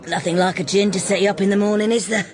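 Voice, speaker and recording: An actress playing a brash, cynical 1930s London streetwalker with a Cockney accent and an earthy, blunt way of talking, in a radio drama. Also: female voice, mid-range voice, fairly even intonation, fast, a little mellow, natural voice. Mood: breezy, mocking.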